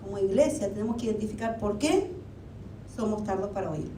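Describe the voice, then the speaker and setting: A woman's voice: lively intonation, fairly quick, dark tone. Woman preaching in an echoey hall. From Argentina. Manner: conversational preaching with rhetorical questions. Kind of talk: sermon. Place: church hall. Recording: echoey hall, mic at a distance, faint rumble.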